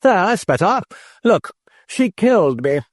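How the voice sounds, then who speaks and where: A man's voice: high-pitched, big pitch swings, measured pace, no echo, studio quality, exaggerated voice. A man voicing a foul-mouthed, indignant dead man in an audiobook, chatty and aggrieved.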